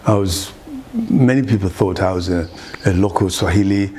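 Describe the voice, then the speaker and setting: A man's voice: deep voice, normal speed, darkish tone, a little hiss or hum. An older man with an East African accent reminiscing casually in an interview, often repeating words for emphasis. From Uganda, grew up partly in Mombasa, Kenya. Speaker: artist being interviewed. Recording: busy chatter in the background. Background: crowd chatter.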